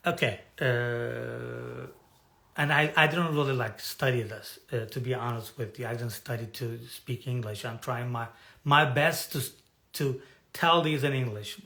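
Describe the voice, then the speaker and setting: A slow-speaking man gives a live talk to an online audience as a personal development coach. He halts often, restarts his sentences and searches for the right words. His pitch sits in an ordinary middle range. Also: gravelly, bright, forceful tone. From has lived in Turkey for decades.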